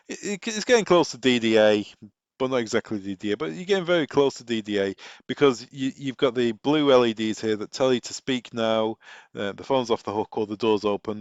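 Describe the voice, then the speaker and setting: A man presenting informal product training, explaining things step by step through practical examples. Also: mid-range voice. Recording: echoey room, dull muffled sound.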